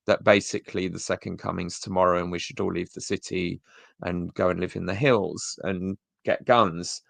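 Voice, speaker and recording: A man's voice: low voice, creaky, a bit bright, dry recording. A man co-hosting a video-call show, giving blunt, sardonic commentary on church talks. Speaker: co-host reviewing church talks. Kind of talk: casual critical commentary.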